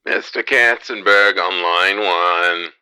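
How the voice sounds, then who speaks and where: A man's voice: sits low, slow pace, bright, forceful tone, clean tone, no echo, exaggerated voice, rough recording. A man playing a deferential assistant who announces callers formally in a comic radio drama.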